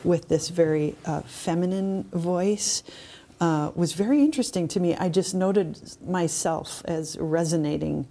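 Woman, podcast co-host, low-pitched, dark mellow tone, casual reflective talk. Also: steady tone, a little slow.

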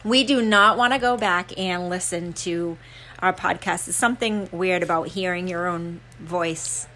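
A woman with a Rhode Island accent co-hosting a casual podcast, chatty and quick to laugh, with plenty of fillers. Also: lowish voice, pushed and bright. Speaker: podcast co-host. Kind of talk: casual chat.

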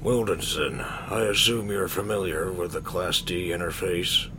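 A man's voice: deep voice, fairly even intonation, moderate pace, background noise, close to an everyday voice. A man playing an authoritative, formal starship commander in a sci-fi audio drama. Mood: stern, businesslike. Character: commanding male mission commander briefing a starship crew.

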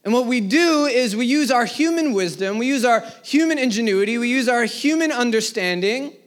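A man in his thirties, a pastor, preaching a sermon to a live congregation, rising in emphasis and then dropping to quiet words at the ends of phrases. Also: very high voice, expressive, normal speed. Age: 30s. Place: church service before a live congregation.

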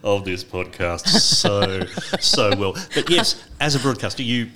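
Relaxed Australian man hosting a podcast. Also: on the low side, a little slow, slight background noise. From South Australia. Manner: relaxed and joking, with hesitant ums and restarts. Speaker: podcast host. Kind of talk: casual interview chat.

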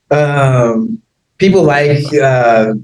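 An American man chatting casually as an interview guest, laughing often and filling pauses with ums and likes. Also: fairly high pitch, reverberant, low-quality recording. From United States. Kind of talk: casual conversation.

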